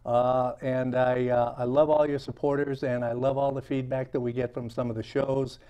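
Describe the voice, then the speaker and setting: A man's voice: mid-range voice, level tone, dark tone, clean tone. Chatty, eager man hosting an interview. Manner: halting, many fillers and restarts; enthusiastic, chuckles often. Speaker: talk show host. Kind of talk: casual interview chat.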